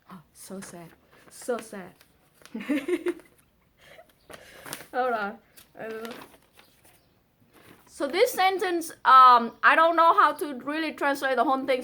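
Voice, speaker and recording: A woman's voice: a little high, pushed and bright. A woman with a Vietnamese accent, a native Vietnamese speaker, casually reading a poem with playful, chatty asides. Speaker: presenter reading a translated poem.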